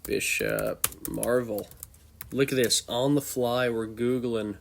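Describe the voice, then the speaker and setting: Slow, congested young man, casual. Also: medium pitch, creaky, slight background noise. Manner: loose, off-the-cuff, thinking aloud. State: congested from a cold. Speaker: host. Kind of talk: casual tier-list commentary.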